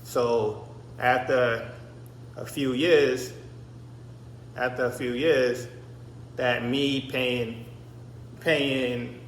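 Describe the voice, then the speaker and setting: Barbados-born man in his 30s, raised in the US, rambling vlog story, monotone, roomy echo. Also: mid-range voice, very slow, long pauses, a little hiss or hum. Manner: rambling, with many restarts and "I mean". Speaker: vlogger. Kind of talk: casual personal storytelling. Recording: echoey room.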